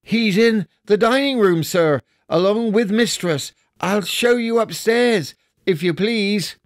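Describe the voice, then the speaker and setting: Brief: shy servant girl, put on by a man reader, raised pitch, slow. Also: no room sound, clean studio sound. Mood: polite. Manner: polite, hesitant.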